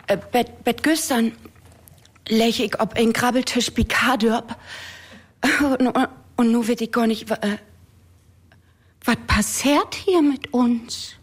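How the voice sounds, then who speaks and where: A woman's voice: a little high, takes it slowly, long pauses, husky. A woman voicing a shy, anxious new T-shirt that stammers timidly in a comic story. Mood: nervous, timid.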